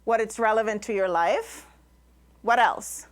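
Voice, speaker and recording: A woman's voice: fairly high pitch, measured pace. A woman from Rico, a Spanish speaker, giving a live public presentation in an emphatic way, often listing in short phrases. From Puerto Rico. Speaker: invited speaker, science communicator.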